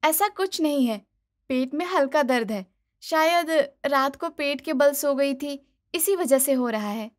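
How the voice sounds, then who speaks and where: Woman voicing a breezy, stubborn young daughter-in-law, high-pitched. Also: lively intonation, measured pace. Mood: breezy, defensive. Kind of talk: cartoon moral story dialogue.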